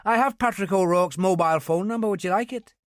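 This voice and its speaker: A woman's voice: medium pitch, fast talker, no room sound, studio quality, pitched above the performer's own voice, natural voice. A male narrator voicing a young female police sergeant from Northern Ireland, with an Ulster accent and a polite, official manner. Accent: Northern Irish.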